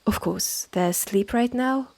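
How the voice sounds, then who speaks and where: A woman plays a stern witch with a French accent in an intimate audio roleplay. She speaks slowly and deliberately in a creaky voice, haughty and impatient, as if addressing someone far beneath her. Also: on the high side, darkish tone. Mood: condescending, ominous. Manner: condescending, deliberate, clipped phrases with exasperated sighs. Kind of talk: scripted second-person audio roleplay, close and measured.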